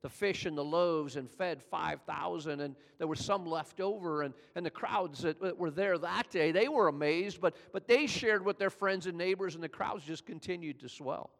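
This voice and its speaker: A man's voice: moderate pace, gravelly, few pauses, a bit bright. Older man preaching in a reverberant hall. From California. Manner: conversational preaching, builds in pitch and volume as he tells the story. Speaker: pastor. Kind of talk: sermon.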